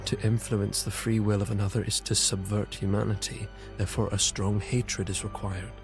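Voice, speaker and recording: A suspicious, brooding young knight, played by a man in a fantasy audio drama. His voice sits fairly low, and music plays clearly beneath it. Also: flat delivery, normal speed, natural voice. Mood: brooding, intent. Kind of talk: audio drama acting with brooding read-aloud monologue. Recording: music under the voice.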